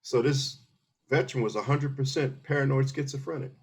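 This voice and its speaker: A man's voice: medium pitch, brisk, husky. A middle-aged man from Philadelphia, an army veteran guest in an interview, talking earnestly and explaining things step by step with many restarts. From Philadelphia, USA. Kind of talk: casual interview conversation.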